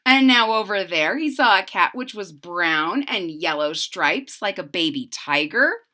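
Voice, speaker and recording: A woman's voice: mid-range voice, lively intonation, measured pace, pushed and bright. A woman reading a picture book aloud expressively, stretching words for emphasis and adding chatty asides for young listeners. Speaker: read-aloud storyteller.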